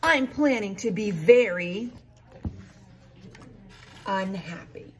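A woman's voice: medium pitch, expressive, slow. A woman voicing an indignant, headstrong young girl in a storybook, with huffy, emphatic protests. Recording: noticeable room noise.

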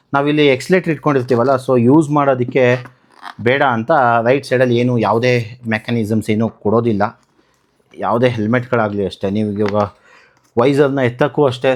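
A man from Karnataka, India, talking casually as a vlogger through a hands-on tutorial, explaining by posing questions and answering them. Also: medium pitch. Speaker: motovlogger, channel host.

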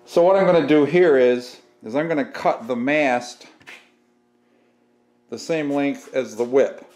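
A man's voice: mid-range voice, a little slow, a little mellow. A middle-aged man giving a casual, folksy hands-on how-to, thinking aloud as he works. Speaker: DIY workshop host. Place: outdoors by a house and in a barn workshop.

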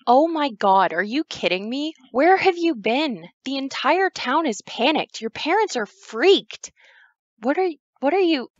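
A woman's voice: high voice, lively intonation, unhurried, no room sound. A woman playing a jumpy, casual schoolgirl in an audio play, on a slightly lo-fi recording. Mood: alarmed.

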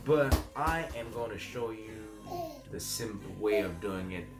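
A Zambian-accented man in his thirties walks viewers through a recipe in a small home kitchen. He sounds chatty and encouraging, peppering his steps with fillers and little "mm?" checks. Music plays clearly behind him. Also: on the low side, expressive, unhurried. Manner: chatty and encouraging, many fillers and questioning "mm?" tags. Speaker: cooking channel host. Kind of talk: home cooking demonstration.

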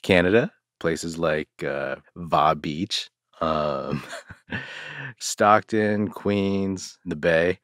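A man co-hosting a podcast talks in a relaxed, offhand manner. His voice is very low. The sound is dry and close. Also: very slow, no room sound. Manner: laid-back, offhand. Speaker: producer and co-host. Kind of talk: casual chat.

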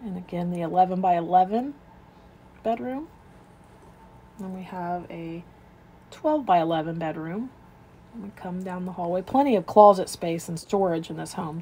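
A woman's voice: on the low side, moderate pace, long pauses, dark tone. Woman giving a house tour, noisy recording. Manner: unscripted, describing rooms as she moves through them. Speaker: real estate agent giving a home tour. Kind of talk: informal walkthrough narration of a property tour. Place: indoors, moving through rooms of a house.

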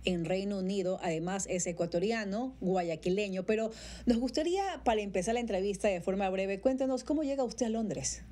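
A woman's voice: medium pitch, animated, fairly quick, mellow. A middle-aged woman conducting a broadcast news interview on a studio mic. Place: radio studio. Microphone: studio mic on boom arm, headphones.

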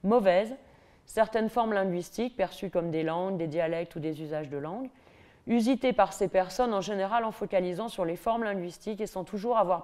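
A middle-aged woman with a Parisian accent giving a university lecture from a lectern in a large hall, lively and ironic, with mocking asides. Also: medium pitch. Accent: Parisian French.

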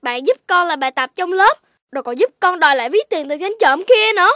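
A woman voicing an eager but timid young puppy schoolboy in a children's cartoon, with an expressive childlike delivery. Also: extremely high pitch, quick pace, no echo, studio quality, exaggerated voice. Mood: excited, happy. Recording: music behind the voice.

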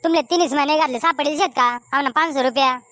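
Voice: sits high, steady tone, quick pace, bright, forceful tone, no room sound. A performer voicing a pushy, suspicious villager in a comedy cartoon, with a Khandeshi Ahirani accent. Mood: pleading. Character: pushy, suspicious villager demanding lost money back.

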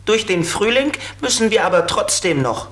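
A man's voice: high voice, very animated, moderate pace, fairly bright tone. A man playing a friendly, helpful little flying creature in a children's radio play, with a simple, good-natured manner. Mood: explaining.

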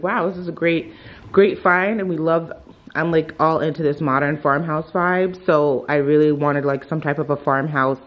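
A young woman, chatting casually to camera at home in a rambling vlog, with lots of fillers. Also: fairly low pitch. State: slightly nasal, a bit congested. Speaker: vlogger. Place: living room and kitchen at home. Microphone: handheld camera at arm's length.

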